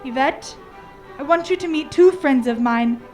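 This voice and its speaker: Radio drama: woman as jealous young man. High register, sulky, curt, petulant. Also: moderate pace, a little mellow, soft background music, lightly acted. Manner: petulant, brusque.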